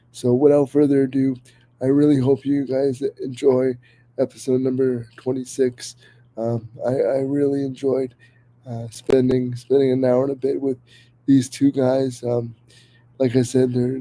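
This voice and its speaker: A man hosts a casual podcast while slightly sick, his voice a little worn. His tone is very dark and mellow and his pitch stays quite level. He talks earnestly and eagerly, stumbling through restarts. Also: rough recording. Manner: earnest, enthusiastic, many fillers and restarts. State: a little sick, voice under the weather. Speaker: podcast host.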